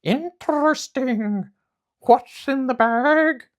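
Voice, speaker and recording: A man's voice: very high voice, extremely slow, pitched above the performer's own voice, full character voice. A man voicing a pheasant bird in a storybook, trilling and drawing out his words. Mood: lilting. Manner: trilling, drawn out.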